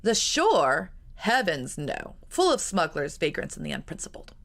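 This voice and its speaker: A woman reading a novel aloud voices a reserved gentleman who dismisses ideas with curt disapproval. His lines come low and gravelly, clipped short. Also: huge pitch swings, moderate pace, exaggerated voice. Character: stiff, distracted gentleman elder brother.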